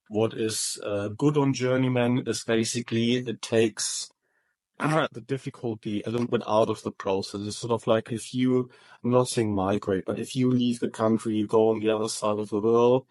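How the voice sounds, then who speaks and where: A man answering interview questions about his company, speaking in halting phrases with frequent restarts. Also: mid-range voice, darkish tone, no room sound, low-quality recording. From Germany. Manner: halting, many restarts and repeated words. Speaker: roofing company manager.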